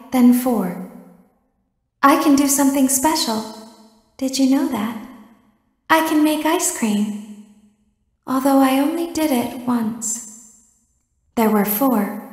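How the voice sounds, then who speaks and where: A woman voicing an eerie, childlike animatronic girl in a video game, wistful and sinister, speaking in a measured, detached way with deliberate pauses. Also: a little high, darkish tone. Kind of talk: video game character monologue. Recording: echoey hall with long reverb.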